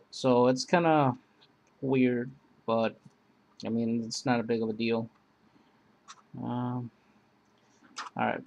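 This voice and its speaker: A man casually demonstrating and comparing two emergency radios, rambling with many pauses and fillers. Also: mid-range voice. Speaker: product reviewer. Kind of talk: casual product demo.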